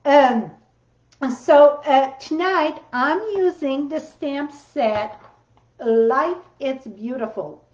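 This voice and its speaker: A woman's voice: high voice, extremely slow, darkish tone. A woman with a French accent hosting a casual card-making livestream from her home craft room, chatty and rambling, with loose grammar. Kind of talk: casual live demo chat. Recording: roomy, slightly dull sound.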